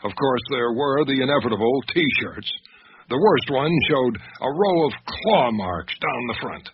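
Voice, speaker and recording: An actor playing an anxious, literate male doctor who tells an eerie mystery in a radio drama, with measured, expressive delivery. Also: medium pitch. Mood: wry, measured. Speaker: narrator and lead character. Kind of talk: first-person radio drama narration and dialogue. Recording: noisy, dull radio drama recording.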